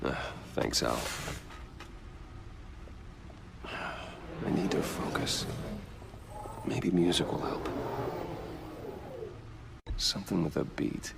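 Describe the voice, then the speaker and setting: Grim masked crimefighter for a game, super deep growly fry, serious, short lines. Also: male voice, animated, slow, long pauses, heavy background noise, exaggerated voice. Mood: grim, focused. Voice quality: gravelly. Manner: terse, serious. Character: brooding masked male vigilante detective, grim and resolute. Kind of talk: video game acting. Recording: music behind the voice.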